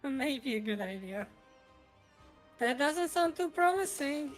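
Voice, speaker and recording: A woman from Croatia talks casually as she streams a strategy game, with faint music behind her. Her voice sits a bit high, and she rambles in a halting way with dry humour. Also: lively intonation. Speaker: gaming streamer. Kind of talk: casual chat while playing a strategy game.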